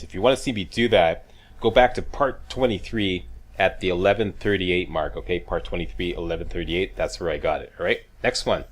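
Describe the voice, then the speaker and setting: A man hosting a video game walkthrough with casual, chatty, wry commentary and frequent check-ins with the viewer. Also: low voice. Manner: chatty, wry, mildly profane, checks in with "okay" and "right".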